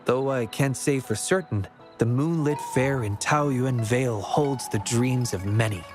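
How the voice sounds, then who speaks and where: A man's voice: mid-range voice, unhurried, close to an everyday voice. An actor voicing a considerate, well-mannered young man in a video game, speaking politely and earnestly. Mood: reflective, earnest. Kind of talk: scripted video game voice acting. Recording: music playing behind the voice. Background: game music.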